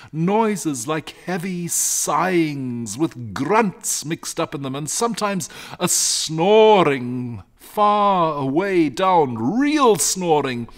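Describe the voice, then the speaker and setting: A man reads as a fretful rustic shepherd from a storybook. The voice is pitched high and swoops about with agitation, rambling on like a frightened countryman telling his tale. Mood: nervous, confiding. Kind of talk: storybook character reading.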